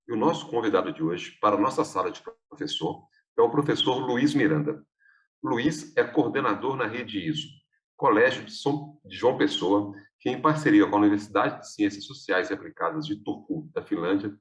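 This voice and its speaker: A man with a somewhat low voice reads a guest introduction as podcast co-host. He sounds set back from the microphone. The room adds an echo. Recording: roomy echo, far from the mic.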